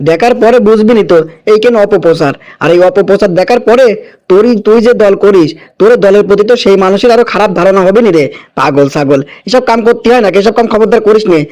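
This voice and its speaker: A man's voice: high-pitched, a little mellow, natural voice. A man playing an indignant village uncle in a cartoon, hectoring in a Kushtia accent from Bangladesh. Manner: hectoring, rhetorical scolding. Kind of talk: animated cartoon dialogue.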